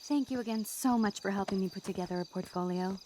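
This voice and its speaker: A woman's voice: medium pitch, quick pace, warm tone, a little hiss or hum, close to an everyday voice, low-quality recording. A young American woman playing an entitled, manipulative, haughty student in a video game. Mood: feigned sympathy. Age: young adult. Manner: coy, haughty. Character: scheming, entitled, manipulative rich-girl student.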